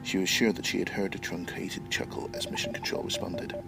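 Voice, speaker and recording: A man's voice: low-pitched, steady tone, brisk, creaky, music in the background, rough recording. A middle-aged man from Northern Ireland, speaking as a writer and podcast guest. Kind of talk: interview answers and reading own short story.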